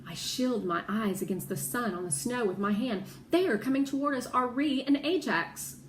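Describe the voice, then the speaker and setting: A woman reading a children's story aloud with expressive narration. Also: on the high side, normal speed. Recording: roomy echo with clear background noise.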